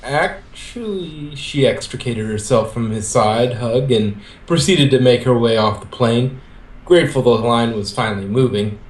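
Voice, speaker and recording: A man of average pitch reads a fan-written story aloud. He moves between narration and lines of dialogue. The sound is echoey, like an amateur recording in a bare room. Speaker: fan fiction reader.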